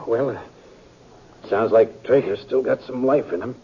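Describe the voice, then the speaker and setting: An actor playing a kindly, grateful sheriff who stumbles and repeats words in a 1950s radio western. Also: male voice, mid-range voice, measured pace, close to an everyday voice. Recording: dull, noisy old radio recording.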